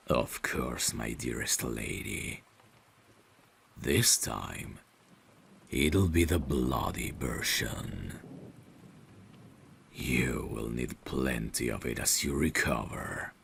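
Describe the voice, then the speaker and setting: A man playing a devoted, courteous vampire butler in an ASMR audio drama, speaking formally and gently in a hushed, gravelly voice that slips toward whispers. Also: rumbling low, heavily acted. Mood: caring, soothing. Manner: slow, formal, gentle, deferential.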